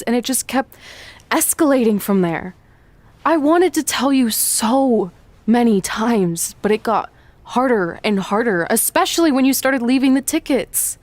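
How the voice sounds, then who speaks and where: A woman's voice: a little high, a little mellow, dry recording, lightly acted. An actress playing a remorseful young woman wrestler and close friend, in sincere, conversational video game cutscene dialogue.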